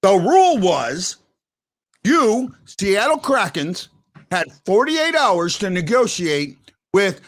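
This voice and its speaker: A man hosting a fan talk show, loud and excitable, rambling and restarting his sentences as he argues his point. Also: high voice, slow. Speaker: podcast host. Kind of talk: heated hockey fan call-in talk. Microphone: headphones.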